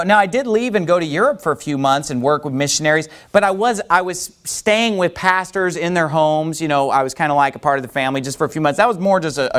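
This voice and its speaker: A male preacher delivering a sermon with a fairly high voice. His pitch leaps around a great deal as he hammers home his points. The delivery is emphatic and animated throughout.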